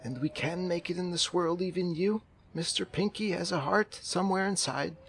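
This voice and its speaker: A man playing an eccentric, earnest amateur therapist in a roleplay game, halting and awkward, repeating himself for emphasis. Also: high voice, vocal fry, a bit bright, a little hiss or hum. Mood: earnest, determined. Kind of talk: improvised roleplay in a zombie survival game.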